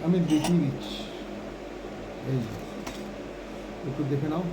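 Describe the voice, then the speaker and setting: A man's voice: on the high side, a little slow, noisy background. A man giving an informal hands-on phone repair tutorial, often checking in with short tag questions.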